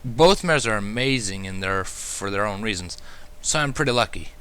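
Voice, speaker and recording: Man acting a bright, earnest young pony stallion. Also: lowish voice, expressive, normal speed, clean tone. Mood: sheepish. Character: earnest, friendly young male pony stallion, slightly awkward.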